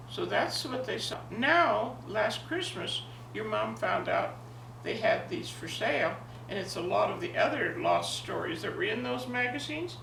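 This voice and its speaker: Jovial, creaky-voiced woman of ninety. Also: medium pitch. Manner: jovial, expressive. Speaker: grandmother telling a family story. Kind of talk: casual reminiscing and animated story reading for family. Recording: echoey, distant home recording with faint noise.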